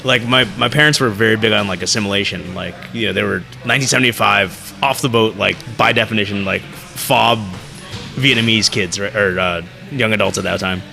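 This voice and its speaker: A man's voice: lowish voice, fairly quick, vocal fry, sharp tone, soft background music. A man from the United States co-hosting a podcast, chatting casually and asking curious questions with many fillers. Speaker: podcast co-host. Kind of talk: casual chat.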